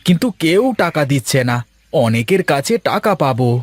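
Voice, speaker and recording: High male voice, fretful poor farmer dad acted by a man, gentle, plaintive, dry cartoon sound. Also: animated, fairly quick, no room sound. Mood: worried. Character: worried poor farmer father, gentle with his daughter. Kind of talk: Bengali moral-story cartoon voice acting.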